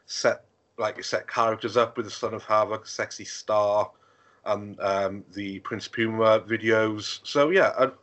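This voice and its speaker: A man's voice: fairly low pitch, fairly even intonation, a little slow, a bit bright. A man co-hosting a casual podcast in an easygoing way, steering the chat and wrapping it up. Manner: easygoing, steers the show with wrap-ups and sign-offs. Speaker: podcast co-host. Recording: dull lo-fi podcast audio.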